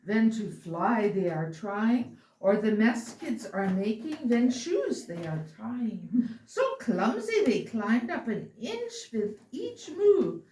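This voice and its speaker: A woman reads a rhyming holiday story aloud in a playful, theatrical, drawn-out way. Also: a little high, big pitch swings, warm tone. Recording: roomy, distant mic, faint noise.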